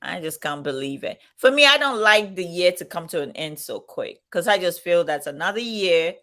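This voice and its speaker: A woman in her fifties with a bright, clear voice, talking in a relaxed, chatty way. She muses aloud and laughs easily, like a co-host on a live stream. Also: on the low side, normal speed. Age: 50s. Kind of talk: casual chat.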